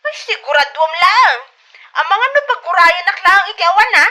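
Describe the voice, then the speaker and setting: A man's voice: extremely high pitch, animated, normal speed, bright, forceful tone, pitched above the performer's own voice, full character voice. A man voicing a sulky, demanding, clingy young girlfriend in an Ilocano comedy drama. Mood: pouting.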